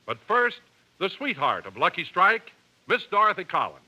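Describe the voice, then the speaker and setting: American man, 1950s announcer, fairly high voice, animated intonation, emphatic salesman polish, vintage radio. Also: moderate pace, dull audio, faint music behind. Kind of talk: 1950s scripted announcing and sponsor pitch. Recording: old 1950s radio broadcast.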